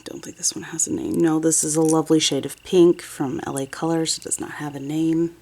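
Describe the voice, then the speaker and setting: A woman's voice: sits low, little pitch movement, fairly quick, a little mellow, slight background noise. A woman in her thirties with a sniffly head cold, chatting casually and a bit ramblingly as she walks through a nail art tutorial at her desk. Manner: chatty, rambling, self-correcting. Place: home desk, nail station. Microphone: overhead camera, hands only.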